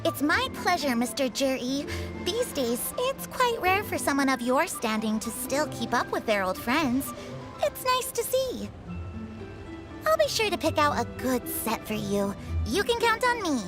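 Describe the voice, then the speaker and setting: A woman voicing a polite, smooth-talking female antique shopkeeper. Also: high-pitched, big pitch swings, a little slow. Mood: courteous. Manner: courteous, smooth salesperson. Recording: game audio over music.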